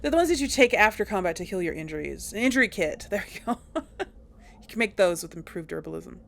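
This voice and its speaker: Gravelly-voiced woman rambling over gameplay. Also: mid-range voice, big pitch swings, fairly quick. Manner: rambling, hesitant, many fillers and restarts. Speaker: let's play host. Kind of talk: casual gameplay commentary.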